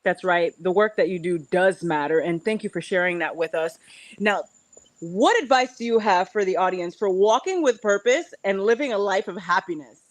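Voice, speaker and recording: A woman's voice: mid-range voice, lively intonation, moderate pace, no echo. Dry-sounding woman hosting a podcast interview. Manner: encouraging. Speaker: podcast host. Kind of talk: interviewing with short prompts.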